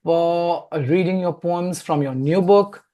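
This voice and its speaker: A man hosting a literary interview, speaking deliberately and repeating words for emphasis. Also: high-pitched, mellow. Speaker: interviewer, poet and publisher.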